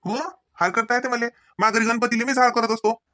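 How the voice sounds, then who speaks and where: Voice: medium pitch, fairly even intonation, fairly quick, pushed and bright, no echo, clean studio sound, close to an everyday voice. An actor voicing an eager, polite, easygoing college-age young man in a comedy cartoon, speaking colloquial Marathi with a Varhadi accent. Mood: helpful. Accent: Varhadi Marathi from Vidarbha. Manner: colloquial, obliging, reassuring.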